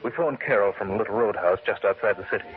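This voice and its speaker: A man's voice: on the low side, fast talker, close to an everyday voice. Actor as earnest, uneasy vintage radio leading man. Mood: somber. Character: uneasy man narrating his own doomed horror story. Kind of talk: old radio horror acting and narration. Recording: muffled, noisy old radio recording.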